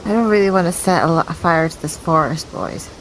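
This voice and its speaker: A woman's voice: sits low, lively intonation, a little fast, a little hiss or hum, poor audio. A woman playing in a tabletop game, talking casually and cautiously with the group. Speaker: player.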